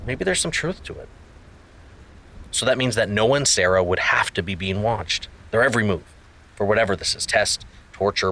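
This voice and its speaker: An investigator in a fiction podcast, speculating aloud. His voice is fairly low yet bright and forceful, with a casual, skeptical delivery.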